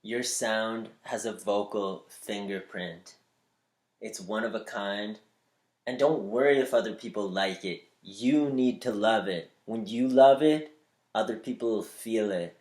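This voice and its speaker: A man with a slightly nasal voice giving upbeat, spiritual advice on using your voice, shifting between quick bursts and slow, deliberate lines. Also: fairly low pitch, a little mellow, echoey room. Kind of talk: motivational talk to camera.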